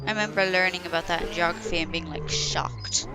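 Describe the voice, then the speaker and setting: A woman's voice: low-pitched, big pitch swings, brisk, creaky, loud music behind the voice. A young Irish woman chatting casually as a co-host on a gaming stream, explaining things with plenty of laughs. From Ireland. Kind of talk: casual chat. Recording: noisy stream with car sounds.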